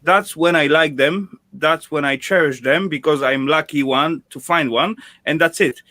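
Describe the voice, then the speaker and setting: A man chatting casually on a stream in halting English with odd grammar. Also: on the high side, bright, forceful tone, dry recording. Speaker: guest on a stream chat. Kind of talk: casual online chat.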